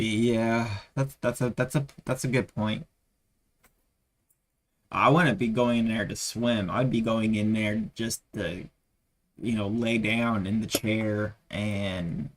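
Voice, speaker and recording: A man's voice: lowish voice, fairly even intonation, takes it slowly, pauses often. A tired man losing his voice, rambling with many fillers as he chats casually on a live trading stream.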